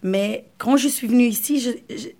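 A woman's voice: a little high, normal speed, warm tone. Hesitant woman, Arabic first language. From Morocco. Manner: hesitant, repeats words, laughs often. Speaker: interview guest. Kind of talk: casual interview chat.

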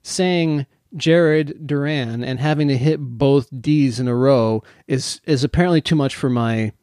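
A man co-hosting a podcast, rambling and self-mocking in casual chat, with a low, mumbly speaking voice and many restarts. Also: measured pace, darkish tone, clean tone, no room sound, studio quality. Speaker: podcast co-host.

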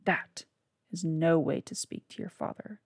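Female reader as a ghostly old father: hushed, husky, low, cold. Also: unhurried, no room sound, clean studio sound, full character voice. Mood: grave. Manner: hushed, grave warnings.

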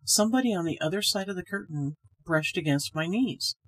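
A woman's voice: fairly low pitch, expressive, a little fast, rough recording. A woman reading a scripted ghost story aloud as the narrator. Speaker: story reader, podcast host.

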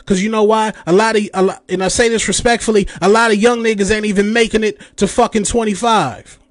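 A man in his late thirties rants in a high voice, heated and profane, and mocks his critics as he talks. The sound is dry and close, with no room around it. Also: fairly even intonation, fairly quick, dry recording. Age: 30s. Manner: heated, profane, mocking rant with mimicked quotes. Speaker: podcast host. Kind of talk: ranting commentary.

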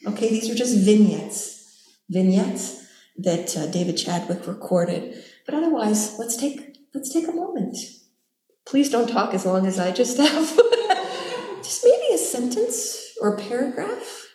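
A woman Zen teacher guiding a group circle in a relaxed, laughing, gentle way. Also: fairly high pitch. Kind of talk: relaxed dharma talk to a group. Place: meditation hall, echoey. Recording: roomy hall echo.

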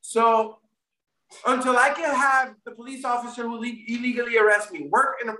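High-voiced man, rambling, in an echoey room. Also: fairly even intonation, normal speed, bright, forceful tone. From Puerto Rico, raised in Florida. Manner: rambling, repeats and restarts often. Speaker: guest, First Amendment auditor. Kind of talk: casual interview chat. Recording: echoey room, distant mic.

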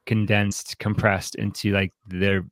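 A man with a fairly low voice hosts a casual discussion about books. He speaks thoughtfully and haltingly, with bookish phrasing. The sound is close and dry, with little room around it. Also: flat delivery, no echo. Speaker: podcast host.

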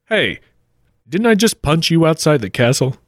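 A man's voice: fairly high pitch, big pitch swings, moderate pace, no echo, studio quality. A man playing a brash, cocky but polite adventurer hero in a comic radio play. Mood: polite, wry. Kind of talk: 1940s-style radio play acting.

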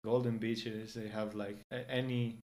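A young man from Greece talks in English, his second language. His voice sits low, and he stops often on fillers and restarts as he looks for the right words. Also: level tone, unhurried, darkish tone. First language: Greek. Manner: hesitant, many fillers and restarts. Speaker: guest. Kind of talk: casual interview in English.

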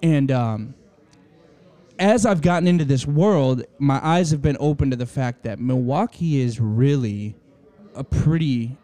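A man in his thirties from Michigan, hosting a casual podcast interview in a relaxed, easygoing way. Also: mid-range voice, unhurried. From Michigan, USA. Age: 30s. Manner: easygoing, laughs often, says "man" a lot. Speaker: podcast host.

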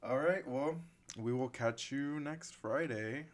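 A woman's voice: rumbling low, slow. A woman in her late twenties hosting a casual podcast, chatting loosely with lots of fillers. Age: late 20s. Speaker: podcast host. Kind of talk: casual chat.

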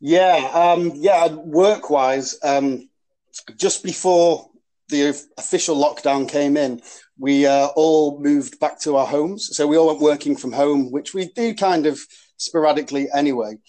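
A man's voice: a little high, unhurried, dark tone, low-quality recording. Chatty northwest English man. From Warrington, northwest England. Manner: chatty, with many ums and restarts. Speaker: podcast guest, rugby club captain. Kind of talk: casual interview chat.

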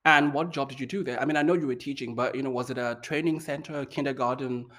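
A man hosting a casual interview, upbeat and chatty, firing off quick questions. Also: mid-range voice, steady tone, a bit bright. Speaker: podcast host. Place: China.

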